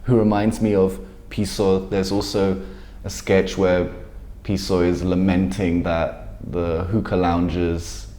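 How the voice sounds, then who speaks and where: Young interviewer, dark voice. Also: male voice, deep voice, level tone, slow pace, roomy sound. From Britain. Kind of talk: news interview. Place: office studio indoors. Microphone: lapel.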